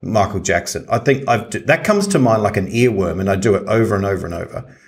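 A man's voice: fairly low pitch, lively intonation, fast. A man talking casually as a podcast co-host. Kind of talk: casual chat.

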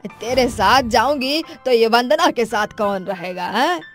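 A woman's voice: a little high, animated, a little slow, faint music behind. A woman playing a dismissive middle-aged mother-in-law in an acted family story, with a colloquial, chiding way of talking. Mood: evasive. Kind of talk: acted dialogue for an animated moral story.

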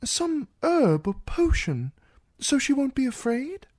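A narrator voicing a frightened, anxious young man who pleads in a high voice. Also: sing-song, slow, heavily acted. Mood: pleading. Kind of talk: audiobook character voice.

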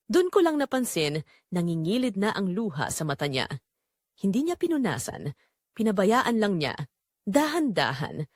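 Female narrator, lowish voice, lively intonation, measured dramatic storytelling, dry close sound. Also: no echo. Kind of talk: scripted first-person dramatic story narration.

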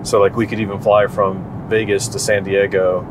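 Male driver and vlogger, thirties, lowish voice, casual talk over steady cabin and road noise. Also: normal speed. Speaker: vlog co-host, driver. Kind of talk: casual chat. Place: inside a moving car.

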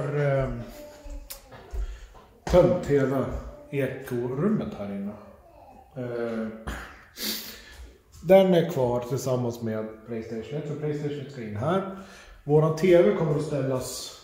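A middle-aged man talks casually to his phone as he vlogs at home, halting and peppered with swearing. His voice sits at an ordinary pitch. The rooms give it a clear echo, with faint noise behind. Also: mellow. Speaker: family vlogger. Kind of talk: casual handheld vlog chat. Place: indoors at home, rooms and kitchen. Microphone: phone at arm's length.

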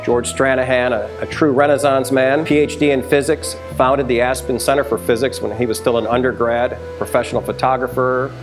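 A man's voice: medium pitch, fairly quick, hardly any pauses, music in the background, rough recording. A man in his fifties, a brewery chief executive, telling stories and answering questions in a sit-down interview in a taproom, gesturing as he talks. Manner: animated storytelling, hand gestures.

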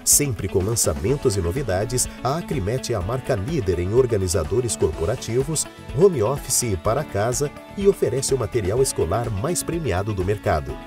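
A man's voice: lowish voice, animated, normal speed, few pauses. A man with a Brazilian Portuguese accent reading a polished, persuasive commercial on a studio mic. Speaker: commercial voice-over announcer. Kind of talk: ad voice-over. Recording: clear music bed behind.

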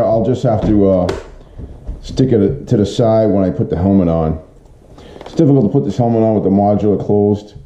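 Dark-voiced middle-aged man in an echoey kitchen. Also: on the low side. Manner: plain-spoken, informal, colloquial. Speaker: vlogger showing motorcycle gear. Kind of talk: casual product walkthrough. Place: home kitchen, indoors. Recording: muffled, boxy room echo, noticeable hiss.

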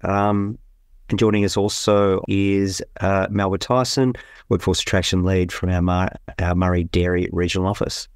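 A man's voice: deep voice, little pitch movement, moderate pace, smooth, no room sound, studio quality. A man hosting a podcast interview, introducing guests and asking questions with frequent um and uh. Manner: many fillers and restarts while introducing guests. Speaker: podcast host.

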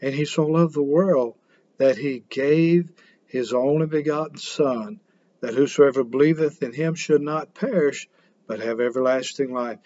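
A man's voice: medium pitch, measured pace, dark tone, no room sound, dull audio. A man, a pastor preaching earnestly to a camera at home with some fillers. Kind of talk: sermon. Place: small home room indoors.

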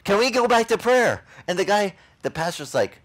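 A man's voice: high voice, normal speed. A middle-aged man, a pastor telling a story in an outdoor beach sermon and acting out the voices of the people in it. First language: Italian. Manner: animated, acts out other people's lines.

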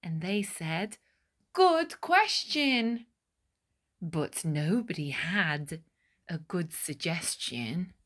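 A woman reading a rhyming children's storybook aloud with deliberate, dramatic phrasing. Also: sits low, a bit bright, breathy edge. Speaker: picture book reader.

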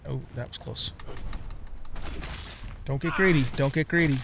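A man's voice: medium pitch, a little slow, noisy background. A middle-aged man giving casual let's play commentary, chatting and thinking aloud as he goes. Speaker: gaming let's play host.

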